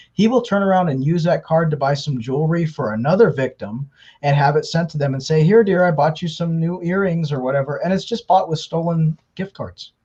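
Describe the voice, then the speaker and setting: A man's voice: fairly high pitch, warm tone, slightly rough. A man speaking as an interview guest, explaining things conversationally at length with fillers and restarts. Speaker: interview guest, scam baiter. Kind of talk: casual interview talk. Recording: dull lo-fi audio.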